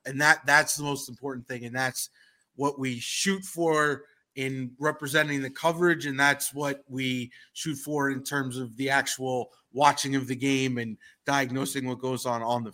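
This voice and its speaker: Slightly hoarse, bright-voiced radio host man. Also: medium pitch, steady tone, normal speed. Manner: emphatic, opinionated. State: a little hoarse. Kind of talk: casual sports radio chat.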